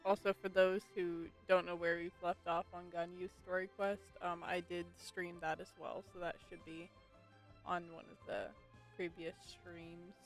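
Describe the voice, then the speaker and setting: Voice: mid-range voice, flat delivery, moderate pace, faint music behind. A game streamer giving casual live commentary, informal and exclamatory, reacting aloud to the game.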